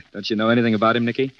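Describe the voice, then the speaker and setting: An American actor playing an urbane, wry and stubborn amateur detective in a 1940s radio drama. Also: male voice, medium pitch, close to an everyday voice. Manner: suave, wry, self-assured. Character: urbane, amused, stubborn male amateur detective and mystery host. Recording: muffled, dull 1940s radio recording.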